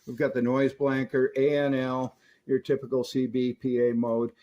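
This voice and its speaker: A man's voice: medium pitch, flat delivery, normal speed, dark tone, muffled recording. A middle-aged man in a casual, chatty hands-on review, folksy and enthusiastic about old equipment. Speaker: radio repair reviewer.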